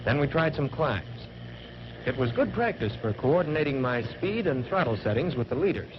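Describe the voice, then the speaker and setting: An American man playing an earnest fighter pilot trainee, narrating a 1940s training film in measured, matter-of-fact phrases, on an old film soundtrack. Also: medium pitch, big pitch swings, natural voice. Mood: earnest, composed. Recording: muffled, noisy vintage 1940s film soundtrack.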